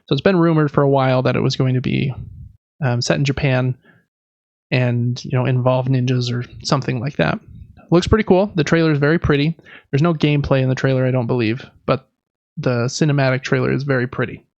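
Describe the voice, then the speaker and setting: A man's voice: mid-range voice, brisk, a little mellow, no room sound, dull audio. A man hosting a podcast, chatting casually and informally through gaming news. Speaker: podcast host. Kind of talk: casual video game news talk.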